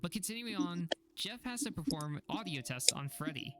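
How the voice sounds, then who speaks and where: A man's voice: high voice, lively intonation, moderate pace, over loud music. A man giving a scripted narration that recaps horror videos.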